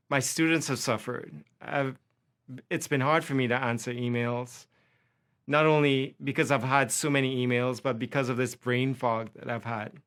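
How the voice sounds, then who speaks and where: Man, Trinidadian accent, faculty lecture, impassioned, smooth resonant voice. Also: medium pitch, fairly bright tone. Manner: impassioned, builds through repeated lists. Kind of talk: prepared lecture.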